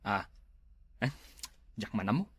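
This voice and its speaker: A man playing a husky, grumbling sleepy husband. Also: on the low side, lively intonation, takes it slowly, fairly bright tone, full character voice. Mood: sheepish. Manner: grumbling, mumbling. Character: sleepy husband dodging his wife. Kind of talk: comedy web drama acting.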